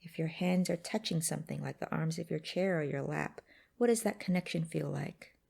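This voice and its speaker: A woman guiding a mindfulness exercise in a gentle, unhurried, instructive way with pauses between phrases. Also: sits low, creaky, slightly rough. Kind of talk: guided meditation narration.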